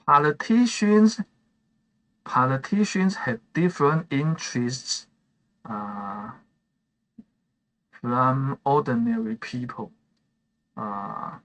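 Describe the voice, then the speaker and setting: Taiwanese guy streaming a game, chinese is his first language, lots of uhs and restarts, reads chat out loud. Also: mid-range voice, gravelly, poor audio. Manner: slow and halting, many "uh" fillers and restarts; reads chat messages aloud and answers them. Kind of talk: live gameplay stream chat.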